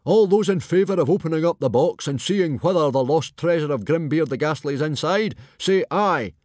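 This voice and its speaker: A man reading aloud, voicing a huge, boastful Viking chieftain in a booming, bombastic way. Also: lively intonation, normal speed, a little mellow, husky, dry recording, clean studio sound. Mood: rousing.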